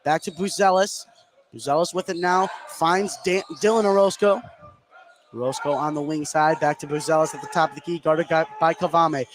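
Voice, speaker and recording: Higher-voiced man calling live basketball, noisy gym. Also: moderate pace. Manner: energetic, follows each pass in clipped phrases. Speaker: play-by-play sports announcer. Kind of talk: live basketball radio commentary. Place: indoor gymnasium at a live game. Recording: clear gym noise behind the voice.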